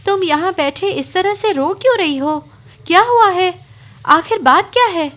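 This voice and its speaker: A woman's voice: very high-pitched, expressive, unhurried, a bit bright, voice pushed up, full character voice. A woman voicing a brave, determined young princess in a cartoon story, speaking firmly and earnestly. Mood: concerned. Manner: firm, earnest pleading.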